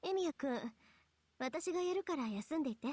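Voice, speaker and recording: An actress playing a confident, politely bossy teenage schoolgirl in a Japanese visual novel, crisp and assertive. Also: high voice, lively intonation, rapid-fire, darkish tone, no echo. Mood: firm, composed. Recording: game music faintly behind.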